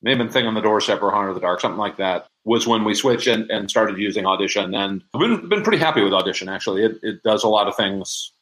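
A man with a somewhat low voice talks casually as a guest in an interview. He thinks out loud as he goes, with a folksy, conversational turn of phrase. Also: fast, hardly any pauses, rough recording. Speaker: interview guest, audio drama producer.

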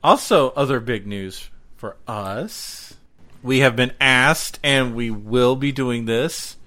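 A man's voice: medium pitch, takes it slowly, sharp tone. A man co-hosting a podcast in casual, irreverent, joking banter. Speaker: podcast co-host.

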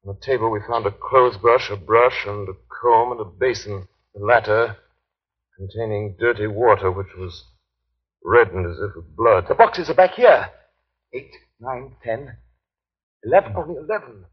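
An actor playing a tense, earnest young vampire hunter, speaking in clipped, dramatic old-time radio style. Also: male voice, lowish voice, moderate pace, breathy edge. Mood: tense, grim. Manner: clipped, dramatic diary narration and dialogue. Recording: muffled old radio recording.

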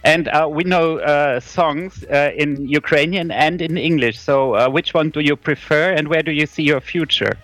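A man's voice: a little high, normal speed, hardly any pauses, a bit bright, no room sound. Male radio interviewer, faint music.

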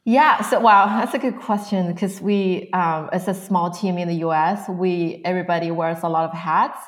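A woman's voice: lowish voice, fairly even intonation, normal speed. A woman from northern China in her thirties, speaking as a guest in a casual interview, using many "um" fillers. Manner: frequent "um" fillers, soft laughs.

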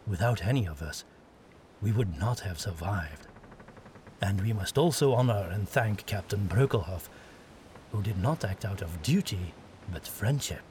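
A man's voice: fairly low pitch, animated, unhurried, a little mellow, a little hiss or hum. A man voicing a young witch hunter who speaks earnestly and humbly.

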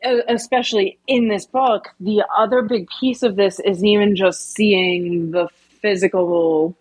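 A woman's voice: medium pitch, fairly even intonation, unhurried, darkish tone, husky, no room sound, low-quality recording. A woman co-hosting a casual discussion podcast, chatty and self-deprecating, sometimes losing the thread of a sentence. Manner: chatty, self-deprecating, loses her sentence then laughs it off. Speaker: podcast co-host.

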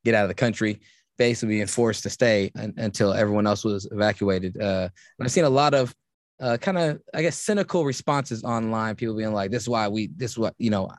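A man with a lowish voice talks in loose, rambling podcast chat, stopping and restarting his sentences often. The sound is dry and close, with no room around it. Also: dry recording. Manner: rambling, halting, many restarts.

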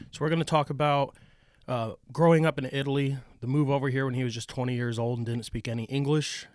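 A man's voice: mid-range voice, no echo, clean studio sound. A man with an American accent hosting a podcast, introducing and interviewing in a conversational style. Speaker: podcast host.

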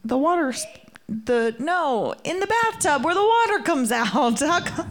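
A woman co-hosting a podcast in casual chat, monotone and a bit tipsy, frank and giggly, sometimes losing her words. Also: sits high, measured pace, faint music behind. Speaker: podcast co-host.

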